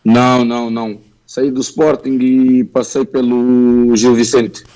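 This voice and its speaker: Young footballer, mellow flat voice. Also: male voice, mid-range voice, slow pace, low-quality recording. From Angola, raised in Portugal. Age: young adult. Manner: polite, modest, plain-spoken. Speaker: footballer guest. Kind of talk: interview answers.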